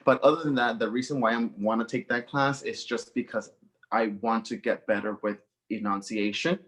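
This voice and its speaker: A young man, answering interview questions in an upbeat, excited way and talking fast. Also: medium pitch. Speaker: student news senior.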